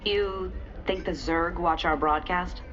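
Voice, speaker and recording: An actress playing an eager, perky TV reporter in a video game, speaking in broadcast news style. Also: female voice, on the low side, fairly even intonation, slow, a bit bright. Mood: perky, probing. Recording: dull broadcast audio under music.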